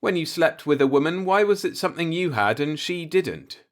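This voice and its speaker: A man's voice: fairly high pitch, expressive, moderate pace, voice pushed up, natural voice. A man voicing a cynical, musing older working-class man, a self-taught professor, in a folksy, rhetorical audiobook monologue. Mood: questioning, exasperated.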